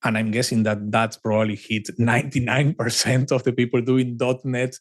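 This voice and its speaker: A man from Argentina, a native Spanish speaker with an Argentine accent, talking as a podcast guest in an animated, repetitive, conversational way. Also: mid-range voice, moderate pace. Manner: animated, with frequent restarts and emphatic repetition. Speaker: podcast guest, cloud advocate. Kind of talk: casual conversational interview.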